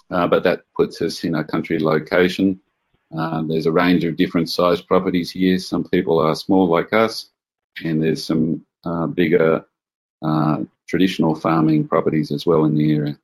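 An Australian man, a guest in an interview, answering in a measured way with many pauses over a video call. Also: deep voice. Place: farm home.

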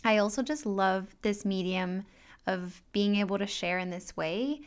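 A woman in her thirties, French but sounding American, hosting a calm wellness podcast in a soft, gentle, unhurried way. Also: mid-range voice, clean tone. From France. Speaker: podcast host and health coach. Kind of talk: calm solo podcast talk with guided breathing.

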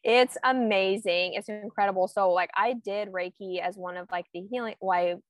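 A woman's voice: mid-range voice, moderate pace, dry recording. A woman in her twenties, a guest speaking reflectively and filling her sentences with "like". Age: 20s. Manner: reflective, heavy use of "like". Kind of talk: casual podcast chat.

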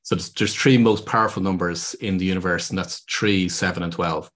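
A man's voice: low-pitched, steady tone, fairly quick, a bit bright. A man with an Irish accent, a podcast guest and business consultant, explaining earnestly with frequent ums and restarts. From Ireland. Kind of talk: podcast interview.

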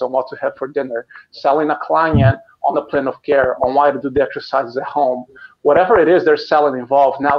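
Brazilian-born man, muffled live-stream audio. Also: on the high side. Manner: earnest, repeats words when starting sentences. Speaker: canine physical therapist, guest. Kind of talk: casual interview chat.

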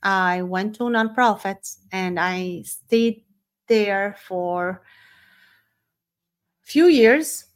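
A woman's voice: mid-range voice, drawn-out words, long pauses. Middle-aged woman with a Syrian Arabic accent. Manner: informal, off-the-cuff. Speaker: podcast host. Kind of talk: unscripted personal monologue.

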